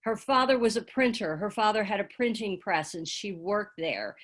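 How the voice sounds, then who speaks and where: A woman's voice: mid-range voice, animated, moderate pace. A woman taking part in a casual panel discussion, recounting things informally. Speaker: panel member.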